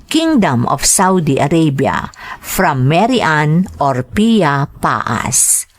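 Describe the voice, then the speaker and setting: A woman podcast host doing scripted narration, reading out greetings and letters. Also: on the low side.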